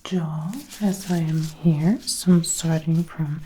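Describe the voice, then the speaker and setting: Female ASMR artist, hushed and extremely unhurried, mellow low-ish tone, whispering close to the mic over faint hiss. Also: flat delivery. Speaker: ASMR creator acting out a personal attention measuring roleplay. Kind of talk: ASMR, soft spoken mixed with whispering. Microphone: close binaural microphone, ear to ear.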